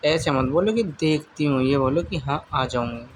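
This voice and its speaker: A man's voice: fairly high pitch, animated, moderate pace, natural voice. A man as a teasing boyfriend, noisy phone call. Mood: coaxing.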